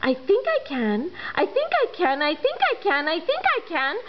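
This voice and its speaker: A woman's voice: high voice, very animated, normal speed, dull audio, background noise, voice pushed up. A woman voicing a small, kind and determined female blue engine in a storybook.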